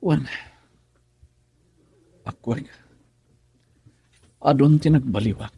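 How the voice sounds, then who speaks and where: A man with an Ilocano accent playing a troubled married son in a radio drama, his voice gravelly and husky. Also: medium pitch, very slow, mellow, heavily acted.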